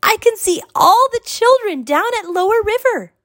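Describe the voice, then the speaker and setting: Woman voicing an eager, high-pitched young girl. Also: sing-song, a little slow, dry recording, clean studio sound, heavily acted. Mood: excited shouting. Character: eager young girl, earnest, pleading pupil.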